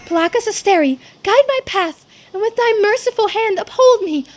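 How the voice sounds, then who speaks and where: A woman's voice: extremely high pitch, expressive, normal speed, voice pushed up. A woman voicing a bold, cheeky and defiant young girl in a video game. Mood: triumphant.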